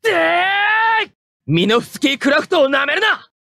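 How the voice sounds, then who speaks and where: A man playing a proud, hot-headed young mecha pilot, barking short forceful attack calls in an anime fighting game. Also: very high-pitched, sing-song, fast talker, dry recording, full character voice. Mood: taunting.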